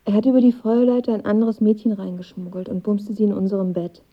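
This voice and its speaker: An actress playing a guarded, vulnerable young woman in a radio drama, confiding in a hushed, breathy voice. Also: medium pitch, level tone, quick pace, lo-fi sound, lightly acted. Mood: hurt, confiding. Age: young adult. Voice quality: breathy, husky.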